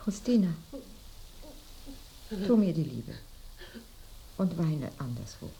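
Dying woman, slow feverish whisper. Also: low voice, very animated, mellow, heavily acted. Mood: yearning, weary. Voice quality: breathy whisper. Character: dying woman, feverish, sensual, lost in memories. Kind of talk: radio play acting. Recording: noisy binaural radio drama recording.

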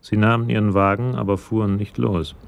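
Male narrator for radio crime drama: very low, creaky, measured, matter-of-fact. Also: flat delivery. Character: sober male narrator of a police crime story.

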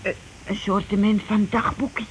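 An actress playing a timid, flustered housewife in a Dutch radio play, halting and repeating her words. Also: medium pitch, level tone, close to an everyday voice. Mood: nervous confiding. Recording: noisy old radio play recording.

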